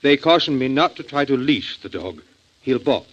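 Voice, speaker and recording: A man plays a worried, well-spoken father in a vintage radio drama. He speaks with formal, careful phrasing at a medium male pitch. The recording is dull and band-limited. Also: expressive, moderate pace, lightly acted. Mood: worried, measured. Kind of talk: 1940s American radio acting.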